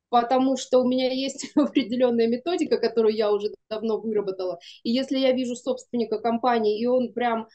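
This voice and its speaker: High-voiced, mellow middle-aged woman, chatty. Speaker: guest, animation studio producer. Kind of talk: casual interview talk. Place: small room indoors, on a video call. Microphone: video call.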